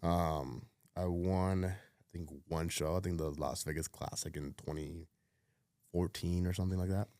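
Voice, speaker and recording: A man in his thirties, born in Cuba, telling his life story as a podcast guest in a relaxed way, with lots of fillers, often trailing off quietly. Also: deep voice, normal speed, no room sound, clean studio sound. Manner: laid-back, many fillers, drops to a mutter at phrase ends. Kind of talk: casual storytelling chat.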